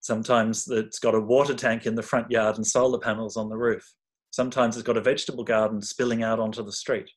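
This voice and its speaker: A man presenting an informal workshop talk in a measured, reflective and halting manner. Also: on the low side, level tone.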